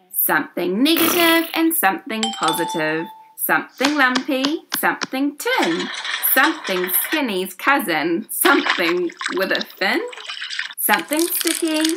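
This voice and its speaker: A woman reading a children's picture book aloud as a storyteller, in an expressive, theatrical way with drawn-out words. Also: a little high, a little hiss or hum. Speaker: story reader.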